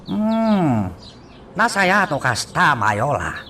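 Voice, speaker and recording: A man's voice: on the high side, wildly swooping pitch, extremely slow, bright, forceful tone, distant mic, a little hiss or hum, full character voice. A man acting as a scheming, menacing businessman in an Ilocano radio drama. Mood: calm, scheming.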